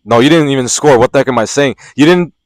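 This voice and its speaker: A man's voice: mid-range voice, quick pace, a crowd in the background. A man in his twenties hosting a casual sports podcast, talking in a slangy, rambling way that keeps correcting itself. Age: 20s. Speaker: podcast host. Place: outdoors at dusk.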